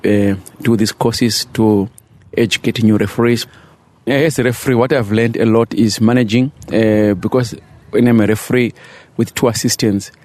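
A middle-aged man from Zimbabwe with a fairly low voice. He speaks as a referee instructor, explaining himself in an interview. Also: darkish tone. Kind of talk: interview answers.

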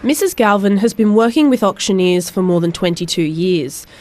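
A woman reporter narrating a news report in a clear, even way. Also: medium pitch, normal speed, slight background noise.

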